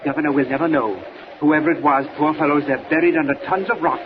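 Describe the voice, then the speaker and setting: An excitable, fussy gentleman photographer, played by a man in an old 1940s radio serial. His voice is high and effusive, on a muffled recording with plenty of hiss. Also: quick pace, close to an everyday voice. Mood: excited, concerned. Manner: effusive, says "my dear fellow".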